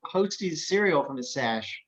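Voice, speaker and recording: Highish-voiced man narrating, dull lo-fi audio. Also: animated, a little slow. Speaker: stage direction reader. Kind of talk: scripted narration.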